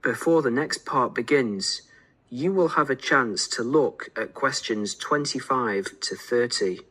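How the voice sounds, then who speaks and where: A man reading scripted exam instructions as an announcer, in a formal, measured manner. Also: mid-range voice, bright, forceful tone, husky, low-quality recording.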